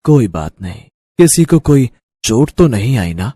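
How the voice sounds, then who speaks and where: A man playing an elderly guru in a storybook tale, calm, fatherly and brave, speaking in a measured, gently commanding way. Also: medium pitch, husky, no echo. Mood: tender, solemn. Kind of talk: animated storybook character acting.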